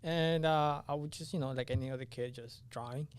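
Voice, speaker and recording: A man's voice: fairly high pitch, fairly even intonation, brisk, smooth. A young man from Honduras, a native Spanish speaker, giving casual interview answers and often searching for his words. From Honduras, moved to the US at nine. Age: young adult. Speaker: podcast guest, engineering student.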